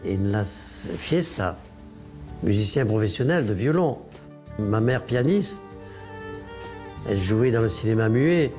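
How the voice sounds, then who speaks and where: An older man, reminiscing in an interview in a halting way, slipping between languages. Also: lowish voice, animated, mellow, faint music behind. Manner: halting, mixing Spanish and French.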